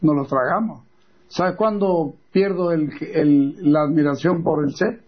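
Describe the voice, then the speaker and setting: Husky older man, fairly high voice. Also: measured pace, highs cut off. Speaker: interviewer. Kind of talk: casual conversation.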